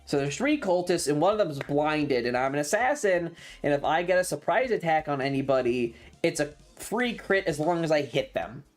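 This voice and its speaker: A mid-pitched streamer recounts a tabletop adventure to a live chat, with music playing behind. The delivery is casual and sweary, leaning hard on key words and repeating them for dramatic effect. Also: expressive, moderate pace, hardly any pauses. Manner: emphatic, repeats phrases for drama. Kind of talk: animated storytelling to a livestream chat about a tabletop game.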